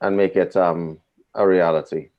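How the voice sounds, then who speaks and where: A man speaking as a guest on a live show, gentle and encouraging with callers. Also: low-pitched, fairly even intonation, moderate pace. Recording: lo-fi video call audio.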